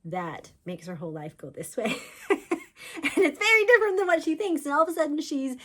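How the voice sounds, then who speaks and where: A woman author talking informally about books, in an animated storytelling way. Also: sits high, brisk. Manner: animated storyteller, voicing characters' thoughts in quotes. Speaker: author discussing own books. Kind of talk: chatty informal book talk.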